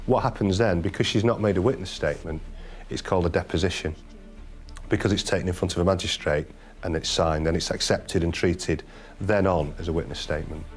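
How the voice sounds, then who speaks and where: A man with a deep voice speaks as a documentary interviewee from the prosecution side. He recounts a criminal case unscripted, with music behind him. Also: a little fast.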